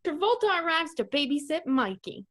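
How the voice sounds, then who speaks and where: High-pitched woman comedy narrator. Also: big pitch swings, moderate pace, a bit bright. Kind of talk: comedic narration of a radio play.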